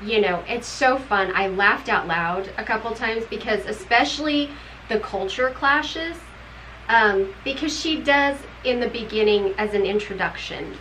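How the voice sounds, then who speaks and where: A woman's voice: on the high side, unhurried, a bit bright, a little hiss or hum, poor audio. Chatty middle-aged woman reviewing a book. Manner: chatty, rambling, laughs often. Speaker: book club co-host. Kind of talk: casual book review chat. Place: home room with bookshelves.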